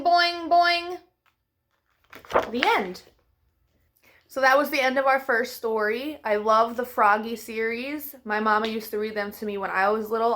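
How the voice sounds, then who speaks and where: Bright, high-pitched woman reading to children. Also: a little hiss or hum. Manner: expressive, playful with sound effects. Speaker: children's storytime host. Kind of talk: animated picture-book read-aloud for young children.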